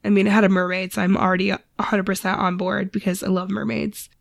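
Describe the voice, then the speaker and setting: A woman co-host talks casually about stories. Her voice has a good deal of vocal fry, and the sound is dry and close. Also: mid-range voice, level tone, fast, dry recording. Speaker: podcast co-host. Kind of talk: casual chat about folk tales.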